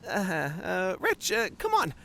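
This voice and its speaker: A woman playing an eager, nervous, good-natured young office newcomer in a comedy audio drama, hesitant and excitable. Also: fairly low pitch, takes it slowly, gravelly, a little hiss or hum, heavily acted. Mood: placating.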